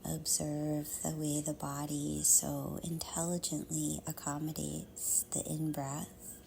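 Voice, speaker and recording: Woman, meditation guide, soft breathy half-whisper, soothing, narrow even pitch, long pauses, faint background ambience. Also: slight background noise.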